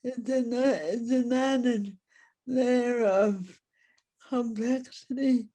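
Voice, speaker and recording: Irish woman being interviewed, super slow n halting, soft dark tone, careful short phrases. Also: a little high. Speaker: playwright, interview guest. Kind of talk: reflective interview talk.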